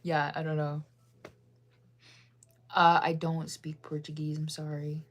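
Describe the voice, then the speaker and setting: A woman's voice: low voice, level tone, unhurried. A young woman from the United States chatting casually on a livestream, rambling with lots of fillers and self-corrections as she answers chat.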